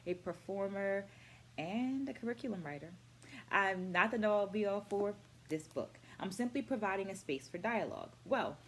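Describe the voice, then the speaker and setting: A middle-aged woman speaking as an educator in a reflective vlog, with deliberate, articulate phrasing and emphatic stresses. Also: medium pitch, a little hiss or hum. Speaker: vlogger and dance educator. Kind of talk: reflective book-review vlog.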